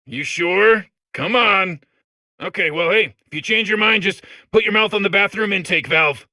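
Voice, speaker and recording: A man's voice: high-pitched, normal speed, sharp tone, no room sound, studio quality. A man voicing a cheerful, chatty robot core full of sewage in a comedic game, eager and unashamed. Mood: proud, eager. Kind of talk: comedic video game character monologue.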